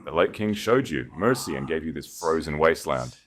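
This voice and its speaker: A man's voice: rumbling low, animated, a little fast. A man voicing a sneering, cruel royal enforcer in an audiobook, menacing and commanding. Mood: angry, threatening. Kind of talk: audiobook character voice.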